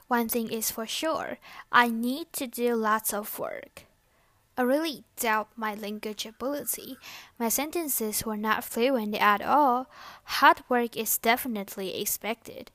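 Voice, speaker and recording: A young woman whose first language is Chinese, hosting a personal podcast and reflecting on her life in a soft-spoken, earnest and lightly scripted way. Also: fairly high pitch, dry recording. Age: young adult. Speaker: podcast host.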